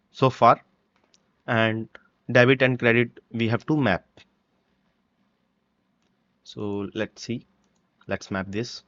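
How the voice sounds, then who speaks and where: A man presenting a step-by-step software tutorial in halting short phrases, with dull, lo-fi microphone sound. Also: mid-range voice, dry recording. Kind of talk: step-by-step screen-recording walkthrough.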